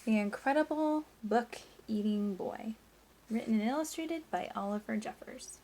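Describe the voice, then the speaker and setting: A woman teacher doing an animated read-aloud of a children's story, with chatty asides and questions to young listeners. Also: a little high, normal speed, a little hiss or hum, poor audio. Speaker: teacher reading aloud to class.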